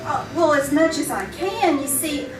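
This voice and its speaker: A woman's voice: high-pitched, normal speed, lightly acted. A woman playing a sweet, earnest young secretary with a hesitant, fluttery manner in a live old-time radio drama. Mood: eager. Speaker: amateur radio troupe actor. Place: small theater, live on stage. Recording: roomy theater stage, distant microphone.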